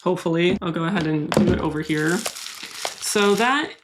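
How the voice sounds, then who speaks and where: A woman's voice: fairly low pitch, normal speed, very noisy. A young woman talking casually and candidly to her viewers while she repots and stakes houseplants. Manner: soft, candid, confiding. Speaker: plant vlogger.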